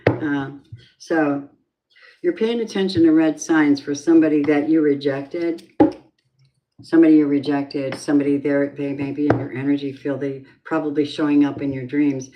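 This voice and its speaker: A middle-aged woman with a low voice, chatting in a rambling, casual way as she gives a tarot reading on a live stream. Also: fairly even intonation, normal speed, muffled recording, slight background noise. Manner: chatty, rambling, drifting off topic. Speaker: tarot reader.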